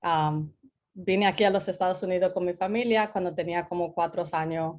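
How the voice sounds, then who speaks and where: A woman from the Dominican Republic, a software engineering director, telling students about her childhood in a calm, measured way. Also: lowish voice. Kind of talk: casual class chat with students.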